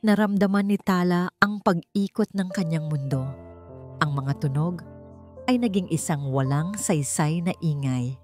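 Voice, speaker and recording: A woman with a low voice narrates a romance drama over music. Her delivery is measured and melodramatic, in the manner of scripted storytelling. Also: darkish tone. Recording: music behind the voice. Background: background music.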